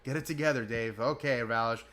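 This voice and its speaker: A man's voice: mid-range voice, fairly quick, a bit bright. A man hosting a casual online voice chat, laid-back and swearing casually. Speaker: host running the voice chat. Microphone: online voice call.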